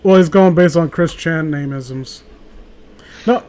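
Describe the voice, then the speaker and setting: Man, higher voice, casual read-aloud host, sarcastic, sweary, cuts in with his own asides. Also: a little slow, a little mellow. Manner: sarcastic, profane, interrupts own reading with asides. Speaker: host reading a horror story aloud with a friend.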